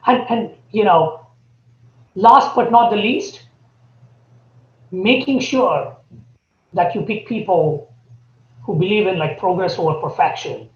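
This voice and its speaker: A man with an Indian accent, a podcast guest laying out his points earnestly and deliberately. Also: high voice. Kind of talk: podcast interview. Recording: echoey, dull remote audio.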